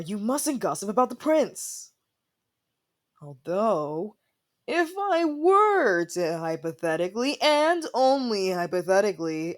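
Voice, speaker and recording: A woman voicing gossipy, playful royal servants with a conspiratorial, bickering manner in an ASMR fantasy roleplay. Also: medium pitch.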